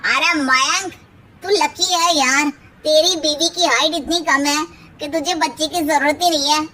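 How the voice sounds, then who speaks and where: A woman's voice: high-pitched, fairly even intonation, a little slow, pushed and bright, voice pushed down. A woman voicing a chummy, teasing young man in a Hindi cartoon story.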